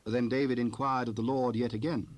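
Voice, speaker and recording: A man narrating a dramatized scripture recording in a formal, measured storytelling manner. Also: mid-range voice, steady tone, natural voice.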